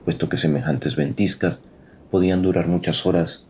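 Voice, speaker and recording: A man's voice: low-pitched, steady tone, moderate pace, a little mellow, a little hiss or hum. A man narrating a scripted dramatic story as its storyteller.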